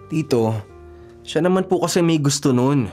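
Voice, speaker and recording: A man acting a young Filipino son in a radio drama. He is defensive and matter-of-fact, mid-pitched, with faint music in the background. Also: animated, moderate pace, darkish tone, natural voice. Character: defensive, unsentimental grown son, a young engineer.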